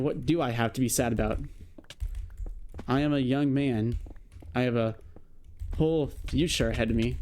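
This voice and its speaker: A man's voice: mid-range voice, darkish tone, slight background noise. A young man in his late teens talking reflectively to camera in a rambling vlog, full of fillers and "you know". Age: young adult. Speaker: vlogger. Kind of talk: casual monologue to camera.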